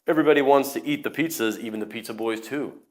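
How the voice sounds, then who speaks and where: A man reading a short, simple news story aloud in a plain, measured way. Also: medium pitch. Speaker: news reader.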